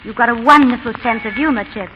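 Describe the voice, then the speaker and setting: Actress as high-voiced coaxing wife, old radio. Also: female voice, fast talker, natural voice. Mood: warm, encouraging. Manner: affectionate, teasing, persuasive. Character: warm, playful young wife, gently coaxing her husband. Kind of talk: 1930s radio drama acting. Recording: dull, noisy 1930s radio recording.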